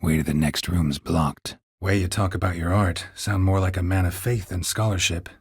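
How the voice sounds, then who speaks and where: Gruff sardonic monster hunter for a game, deep n super raspy, terse deadpan lines. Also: male voice, moderate pace, no echo, clean studio sound, heavily acted. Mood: calm, matter-of-fact. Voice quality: low, gravelly rasp. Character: gruff, stoic male monster hunter, world-weary and sardonic. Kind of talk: video game character dialogue.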